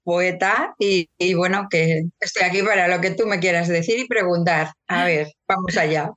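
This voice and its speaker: A middle-aged woman from Madrid, a poet guest on a radio interview, talking in a relaxed, chatty way with fillers and restarts. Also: lowish voice, moderate pace. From Madrid, Spain. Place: radio studio.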